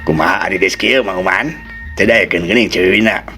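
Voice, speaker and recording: A man's voice: deep voice, brisk, pushed and bright, soft background music. A man voicing a bossy, scheming village man in a folk tale, coaxing and exclaiming. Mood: coaxing, pushy.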